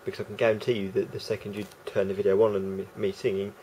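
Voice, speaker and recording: A man with a croaky voice talking casually to camera as a vlogger, rambling and hesitant with many fillers and restarts. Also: lowish voice, little pitch movement, very fast, a little mellow, a little hiss or hum.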